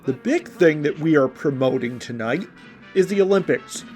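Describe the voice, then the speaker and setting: A man's voice: fairly high pitch, animated, normal speed, darkish tone. A man with an American accent introducing a podcast like a radio host, rambling and wordy. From Indiana, United States. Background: music under the voice.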